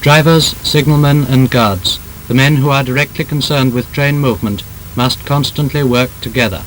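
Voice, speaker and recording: A man with a British accent reads a scripted 1930s instructional film narration in a formal, emphatic, measured manner. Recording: noisy old film soundtrack with rumble.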